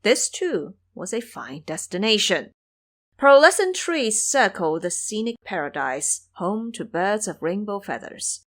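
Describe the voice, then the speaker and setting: A woman's voice: medium pitch, very animated, measured pace, a bit bright. A woman giving formal, scripted storytelling narration for a fantasy audio drama.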